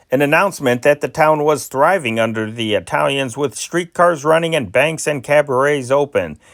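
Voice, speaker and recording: A man reading old wartime newspaper reports as scripted news, in a formal, measured and deliberate manner. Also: mid-range voice, fairly bright tone. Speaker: amateur news reader, channel host.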